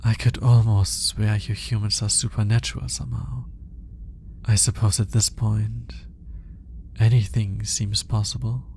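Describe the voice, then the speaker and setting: A man acting as an awkward, lovestruck male alien scientist in an ASMR roleplay. He talks slowly and hesitantly in a soft, dark voice with a gravelly edge, often dropping to a whisper. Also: lowish voice, a little hiss or hum. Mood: awed, tender. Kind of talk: intimate roleplay ASMR audio drama.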